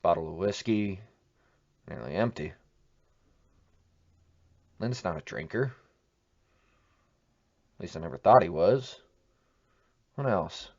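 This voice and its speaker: A man's voice: low voice, animated, slow pace, long pauses, highs cut off, full character voice. A man acting a weary, troubled amateur investigator in an audio drama, muttering to himself as he broods. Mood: pensive, searching.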